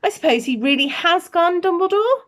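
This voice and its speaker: A woman's voice: extremely high pitch, animated, moderate pace, voice pushed up. A woman voicing an anxious, stern older woman professor in a storybook, with exclaiming, emotional delivery. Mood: anxious, astonished. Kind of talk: storybook character reading.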